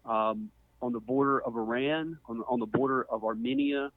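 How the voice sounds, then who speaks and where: A middle-aged man from the American Deep South talks as an interview guest over a thin, phone-like connection. His pitch is average and he explains things in a measured, conversational way, with no room sound. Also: fairly even intonation, dry recording. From Mississippi, US Deep South. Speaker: podcast guest, archaeologist. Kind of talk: conversational account of own background. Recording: muffled phone-like narrow band.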